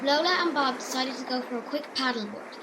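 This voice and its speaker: A woman's voice: high voice, fairly quick. A woman reading a scripted story as narrator. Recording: noisy amateur home recording.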